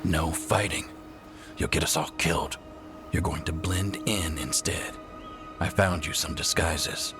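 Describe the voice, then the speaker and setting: An actor playing a grave, terse and commanding scout leader in a fantasy video game. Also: male voice, extremely low pitch, fairly even intonation, measured pace, creaky, music in the background, heavily acted. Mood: stern.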